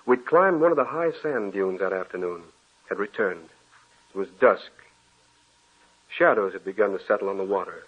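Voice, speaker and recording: A man's voice: fairly low pitch, normal speed, pauses often, slightly rough. An actor in a 1940s radio drama playing a guilt-ridden author who narrates how he fell for a woman he now believes he killed. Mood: wistful. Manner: confessional, anguished. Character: guilt-ridden male author haunted by a dead love. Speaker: narrator of his own story. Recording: tinny old radio broadcast.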